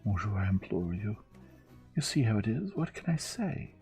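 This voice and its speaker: A man voicing a sly, excitable young French lady's maid in an audiobook, with a French accent and an emphatic, pleading manner. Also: sits low, moderate pace, faint music behind, voice pushed up. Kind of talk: audiobook character voice.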